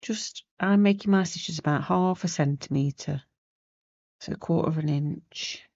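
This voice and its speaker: Gravelly low-voiced woman, chatty. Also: unhurried, warm tone, dry recording, dull audio. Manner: chatty, rambling, loses her thread. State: sniffly with a starting cold. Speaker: crafting channel host. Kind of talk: casual sewing tutorial chat.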